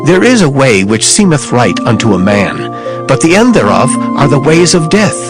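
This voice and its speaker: A man's voice: mid-range voice, very animated, moderate pace. Declamatory man reading scripture over music. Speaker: scripture reader. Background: background music.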